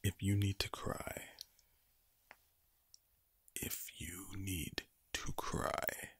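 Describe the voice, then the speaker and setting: A man speaking in a breathy, low ASMR whisper close to the microphone, deliberately repeating words in a soothing yet sinister-sounding way. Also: level tone, a bit bright, no room sound. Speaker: ASMR performer. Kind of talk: ASMR monologue of whispered and low soft reassurance.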